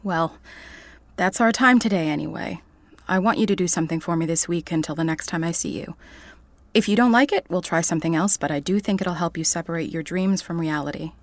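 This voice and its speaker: A calm female therapist played by an actress in an audio drama. Her voice is fairly low and recorded very dry. She speaks in measured, reassuring phrases. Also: dry recording, close to an everyday voice.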